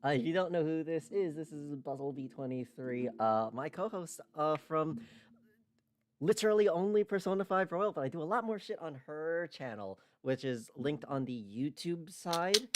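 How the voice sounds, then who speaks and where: A man's voice: a little high, moderate pace, dry recording. A man hosting a livestream, chatting casually and informally with some swearing. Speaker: streamer and host.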